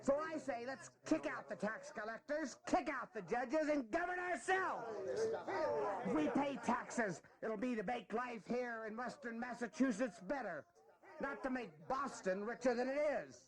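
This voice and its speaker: An actor playing a fiery rebel leader in a historical audio drama, rallying poor farmers with rousing rhetorical questions. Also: male voice, very high voice, lively intonation, moderate pace. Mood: defiant, rousing. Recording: loud crowd around the voice.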